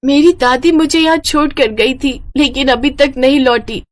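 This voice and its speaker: A woman's voice: high voice, moderate pace, dry recording, clean studio sound, pitched above the performer's own voice, close to an everyday voice. A woman voicing an innocent, trusting little lost girl in a cartoon story, in a plaintive childlike manner.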